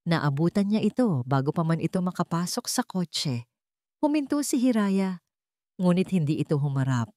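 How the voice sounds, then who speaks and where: A woman's voice: on the low side, very animated, moderate pace, dark tone, dry recording, studio quality. A woman with a Filipino accent reading a scripted romantic drama as a story narrator.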